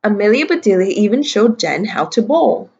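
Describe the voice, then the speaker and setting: A woman reads a children's picture book aloud like a teacher, pausing to explain things simply. Her mid-pitched voice is slightly husky, and the recording sounds a bit muffled. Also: big pitch swings, darkish tone. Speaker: kindergarten and first grade teacher.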